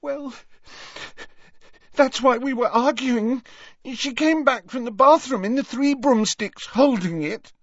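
A man voicing a distraught, sobbing teenage schoolgirl in a tearful, halting way for a story. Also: extremely high pitch, no echo, muffled recording, pitched above the performer's own voice, full character voice.

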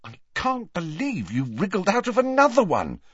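A man's voice: high voice, huge pitch swings, moderate pace, fairly bright tone, slightly rough, no room sound, lo-fi sound, voice pushed up, heavily acted. A man voicing an earnest, bookish teenage girl student with an eager manner. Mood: reproachful.

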